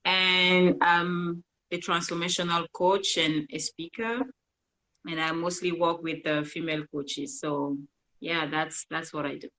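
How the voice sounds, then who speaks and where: Bright, low-pitched woman with a Cameroonian accent. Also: little pitch movement, measured pace, rough recording. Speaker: guest co-host, coach and speaker. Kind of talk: casual self-introduction.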